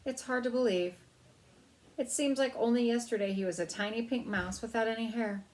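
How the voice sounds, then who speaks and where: A woman's voice: fairly high pitch, a little fast, darkish tone, voice pushed up, natural voice, poor audio. A woman voicing a fretful mother mouse, anxious and fond of her son, in a storybook reading. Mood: wistful. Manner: anxious, sighing, nervous.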